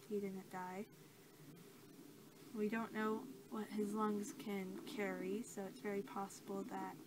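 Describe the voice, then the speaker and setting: A young woman doing soft-spoken ASMR roleplay as a scientist, talking in a casual, rambling, hesitant way. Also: medium pitch. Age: young adult. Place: small cluttered room indoors. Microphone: camera mic at about arm's length. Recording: clearly noisy amateur camera audio.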